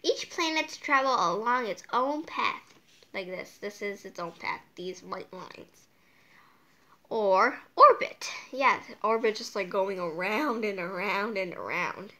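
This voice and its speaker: A woman's voice: medium pitch, animated, slow, sharp tone. A woman hosting a casual storytime read-aloud, drifting off into playful, whimsical asides as she goes.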